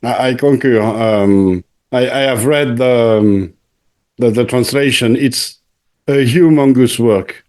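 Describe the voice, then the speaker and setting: A man hosting an interview in conversation, speaking haltingly, often repeating words and searching for the next one. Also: mid-range voice, darkish tone. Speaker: channel host interviewing a returning guest.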